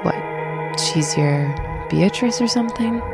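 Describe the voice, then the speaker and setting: A woman's voice: mid-range voice, lively intonation, slow, vocal fry, loud music behind the voice. A woman playing a bitter, cold, menacing villainess who taunts in an audio drama. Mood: cold taunting. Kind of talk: fan audio drama acting.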